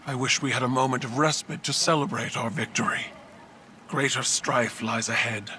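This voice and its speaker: A man's voice: mid-range voice, sharp tone, far from the mic, slight background noise, lightly acted. A man voicing a proud, stern alien warrior monarch in a video game, speaking formally and imperiously. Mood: solemn resolve. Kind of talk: video game cutscene acting.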